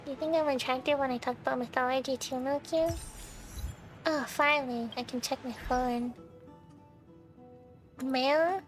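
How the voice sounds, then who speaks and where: A woman's voice: high-pitched, fairly even intonation, measured pace, long pauses, faint music behind. A woman livestreaming a video game with casual, playful chat, often musing aloud to her viewers.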